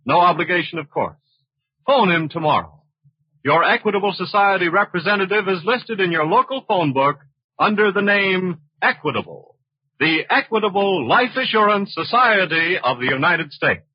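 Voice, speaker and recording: A man announcing in a formal, polished, scripted 1940s radio style, pitching for a sponsor. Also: animated, normal speed, husky, dry recording. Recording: dull old radio broadcast recording.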